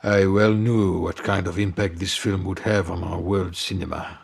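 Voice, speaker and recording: Actor as a gravelly, embittered film producer. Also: male voice, low voice, flat delivery, moderate pace, exaggerated voice. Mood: bitter, self-justifying. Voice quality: gravelly, husky. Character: jealous, embittered male film producer confessing sabotage. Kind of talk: dramatic audio fiction confession.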